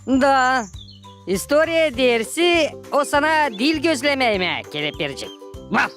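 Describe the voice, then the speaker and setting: A performer voicing a playful, teasing, food-loving cartoon animal sidekick with drawn-out, exaggerated comic delivery. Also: high-pitched, big pitch swings, a bit bright, soft background music, full character voice. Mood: grumbling. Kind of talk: cartoon dialogue.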